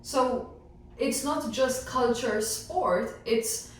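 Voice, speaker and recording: Echoey, higher-pitched woman chatting. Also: drawn-out words. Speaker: panelist in a sports team discussion. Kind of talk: casual group discussion. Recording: very echoey room, distant mic, faint noise.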